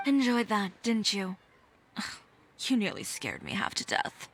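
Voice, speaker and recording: A woman's voice: mid-range voice, wildly swooping pitch, measured pace, creaky, a little hiss or hum, exaggerated voice. A woman voicing a poised young tailor in a video game cutscene, composed and dryly teasing.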